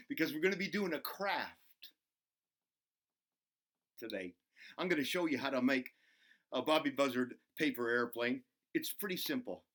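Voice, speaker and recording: A man voicing an excitable, goofy buzzard who hosts a children's show, playful and giggly as he talks to himself and to the kids. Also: medium pitch, lightly acted. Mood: chirpy, eager. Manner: giggly, self-interrupting, talks to himself in third person. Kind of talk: playful craft demonstration for children.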